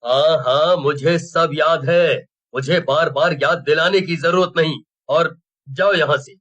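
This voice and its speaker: A man's voice: fairly high pitch, steady tone, a little slow, fairly bright tone. A man voicing a kindly, worried middle-aged village headman in a cartoon story, speaking earnestly. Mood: troubled, curt. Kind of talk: Hindi cartoon story dialogue.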